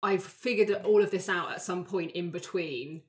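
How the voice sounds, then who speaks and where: A woman's voice: lowish voice, moderate pace. A woman player thinking aloud in a casual, agreeable way about a tabletop roleplaying game. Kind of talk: casual chat planning a character.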